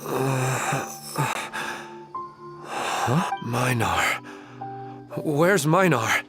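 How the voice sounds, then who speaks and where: A man playing a gentle, wistful husband in a video game, speaking in an earnest, hesitant, dreamy way. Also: medium pitch, expressive, extremely slow. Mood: dazed. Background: music.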